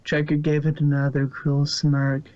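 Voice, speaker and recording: A man's voice: fairly high pitch, monotone, unhurried, a little mellow, no echo. A man narrating fan fiction as a scripted story reading. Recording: muffled, dry lo-fi recording.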